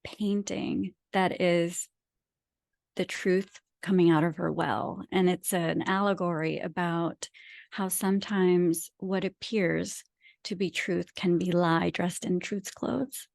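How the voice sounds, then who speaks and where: Low-pitched woman from Pakistan, earnest and reflective. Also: steady tone, takes it slowly, creaky, a little mellow, no room sound, studio quality. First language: not English; English is her second language. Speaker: author and podcast guest. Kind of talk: reflective interview conversation.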